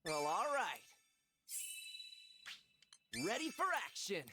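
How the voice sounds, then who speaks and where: An upbeat video game heroine speaks in short lines with a lot of lift and swing. She takes her time with the words. Game music plays clearly behind her. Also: medium pitch, big pitch swings, takes it slowly. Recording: game audio with music over it. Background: game music and jingles.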